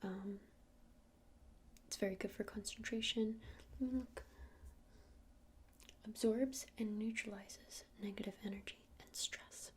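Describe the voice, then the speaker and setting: A woman playing a gentle witch shopkeeper in an ASMR roleplay, speaking in a breathy whisper and explaining things in an unhurried, hesitant, soothing way. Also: medium pitch, fairly even intonation, slight background noise, lightly acted. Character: gentle witch shopkeeper showing crystals to a customer.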